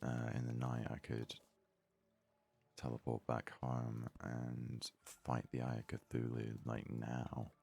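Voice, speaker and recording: A man giving soft-spoken ASMR game commentary in an unhurried, hesitant way, as if thinking aloud. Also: extremely low pitch, completely flat delivery, vocal fry. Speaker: gaming video host.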